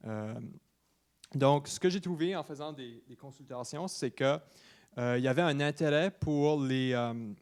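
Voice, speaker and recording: A man in his twenties with an Acadian New Brunswick French accent, giving an informal presentation at a meeting, often pausing mid-phrase. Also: medium pitch, darkish tone. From Saint-Louis-de-Kent, New Brunswick, Canada. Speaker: student presenter to a municipal council.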